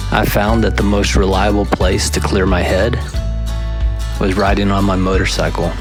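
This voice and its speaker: Male host, low register, casual storyteller, music bed loud underneath. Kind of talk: vlog narration and to-camera talk.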